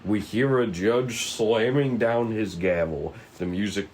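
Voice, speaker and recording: A man narrating stage directions at a table read, haltingly and stumbling over the script now and then. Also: lowish voice, a little mellow, a little hiss or hum.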